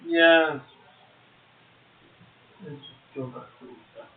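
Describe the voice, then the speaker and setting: Over narrow, phone-like audio, a man with a high voice co-hosts a poetry show. He speaks extremely slowly, whether reciting verse or making a casual remark. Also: steady tone, background noise. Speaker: poetry podcast co-host, poet. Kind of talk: slow poetry reading and casual comment. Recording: muffled, tinny phone-like audio.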